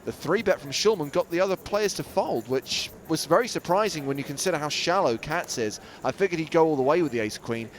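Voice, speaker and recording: A man's voice: on the high side, lively intonation, brisk, hardly any pauses. A man with an English accent hosting a live poker webcast, introducing guests and bantering in a dry, wry way. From England. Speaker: webcast host.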